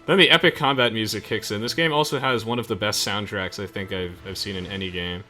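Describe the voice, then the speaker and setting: A man's voice: lowish voice, steady tone, quick pace, bright, forceful tone. A young man hosting a let's play, chatting casually with friends as he comments on the game. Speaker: host of a let's play video. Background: game music.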